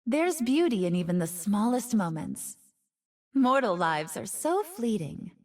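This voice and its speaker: Slow, seductive wild fox spirit. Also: female voice, mid-range voice, very animated, a little mellow, distant mic. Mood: wistful, wondering. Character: seductive nine-tailed fox woman, ancient, wild, predatory, wistful. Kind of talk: video game character voice lines.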